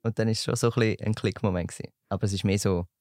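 A young man from St. Gallen with a Swiss German accent, a podcast guest chatting in a relaxed, joking way. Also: on the low side, racing, no echo, studio quality. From St. Gallen, Switzerland. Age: young adult. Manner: relaxed, joking, laughs while talking. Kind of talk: casual podcast chat.

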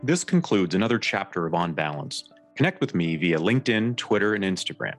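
A man's voice: low-pitched, very animated, brisk, faint music behind. A man from the Detroit area hosting an interview podcast, asking long, meandering questions full of restarts. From Detroit area, USA. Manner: rambling, searching questions with restarts. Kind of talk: conversational interview.

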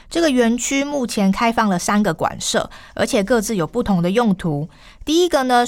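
A woman co-hosts a radio program with a Taiwanese Mandarin accent. Her voice is fairly high and the sound is close and dry. She presents in a prepared, conversational style. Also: no room sound.

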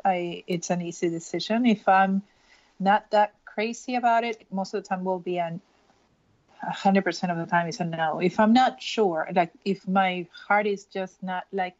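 A woman's voice: fairly low pitch, fairly even intonation, moderate pace, muffled recording. A woman from Peru, an illustrator speaking earnestly in an interview and often restarting her phrases. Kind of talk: casual interview.

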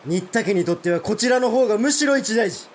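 Casting: proud, outspoken samurai, notably high voice, formal archaic delivery, period audio drama, faint background noise. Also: male voice, animated, brisk. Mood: indignant. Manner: formal archaic warrior speech, forthright. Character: blunt, proud provincial samurai lord, openly indignant. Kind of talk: Japanese historical audio drama.

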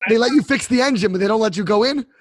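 A man hosting an interview, introducing his guest and asking measured, friendly questions. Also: sits high, no echo, clean studio sound. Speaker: host. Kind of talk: podcast interview.

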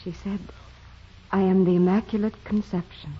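A woman playing a devout, humble teenage peasant girl in a 1940s radio drama, speaking simply and sincerely in a soft voice. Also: mid-range voice, fairly even intonation, moderate pace, husky, close to an everyday voice. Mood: humble, earnest. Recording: muffled, thin old radio broadcast.

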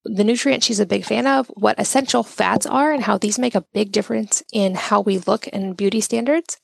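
A woman's voice: mid-range voice, moderate pace, gravelly, husky, no room sound. A woman hosting a health podcast, introducing and interviewing her guest with upbeat enthusiasm. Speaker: podcast host.

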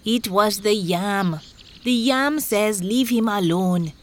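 A woman voicing bossy, matter-of-fact talking animals and objects in a storybook, with commanding, drawn-out delivery. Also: medium pitch, natural voice. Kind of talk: storybook character voice.

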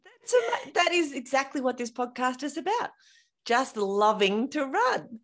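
A woman hosting a running podcast, chatting in a bubbly, animated way and laughing often. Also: high voice, unhurried. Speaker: podcast host. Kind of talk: casual interview chat.

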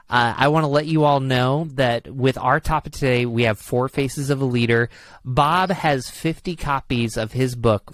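A man's voice: mid-range voice, a bit bright, no echo. A man with an Upstate New York accent hosting a podcast interview, rambling a little with fillers. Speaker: podcast host.

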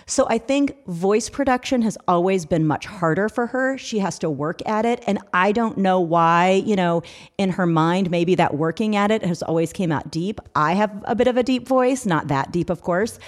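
A woman's voice: mid-range voice, moderate pace. A middle-aged woman hosting a podcast, talking casually and earnestly as a parent giving practical advice. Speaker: podcast host.

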